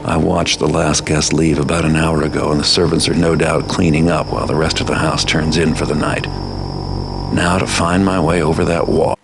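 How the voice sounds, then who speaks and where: An actor playing a cynical, world-weary master thief in a video game, narrating in a deadpan, brooding noir style. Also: male voice, very deep voice, normal speed, background noise. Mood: cool, calculating. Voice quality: gravelly.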